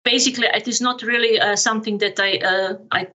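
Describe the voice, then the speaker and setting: A middle-aged woman with a Serbian accent answers as a podcast guest. Her voice is bright and clear-cut in tone, though she talks haltingly, hunting for words. The audio is a little dull and lo-fi. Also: mid-range voice, fairly even intonation. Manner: halting, searching for words, non-native phrasing. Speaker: podcast guest, project management officer. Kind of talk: conversational interview answers.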